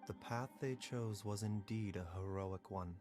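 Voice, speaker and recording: A man's voice: lowish voice, a little mellow, lightly acted. Composed, courteous doctor acted by a man, music behind. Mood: solemn, composed. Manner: measured, polite, formal. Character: composed, courteous male doctor, gentle and detached. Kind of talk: video game voice acting.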